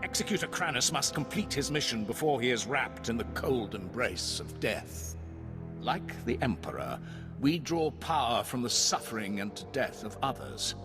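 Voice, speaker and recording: A man acting as a menacing death-cult zealot in a sci-fi game. He is grandiose and taunting, his pitch moving dramatically over music. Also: big pitch swings, moderate pace, lightly acted. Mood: grim reverence. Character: menacing, death-worshipping male imperial fanatic. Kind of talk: scripted video game acting. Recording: music behind the voice.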